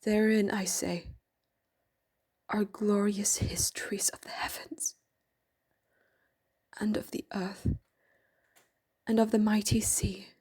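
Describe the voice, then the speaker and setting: A woman narrating an audio drama in a hushed, breathy, near-whispered way. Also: medium pitch, little pitch movement, slow pace, long pauses.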